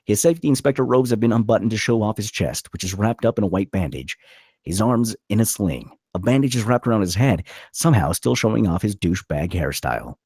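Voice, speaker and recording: Deep, husky man narrating a screenplay. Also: lively intonation, a little fast, a little mellow, no echo, clean studio sound. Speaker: stage directions reader.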